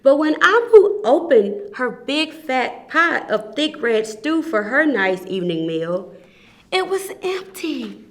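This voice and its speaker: A woman reading a children's picture book aloud as a storyteller, emphasising phrases expressively and deliberately. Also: sits high, very animated. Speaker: volunteer children's story reader.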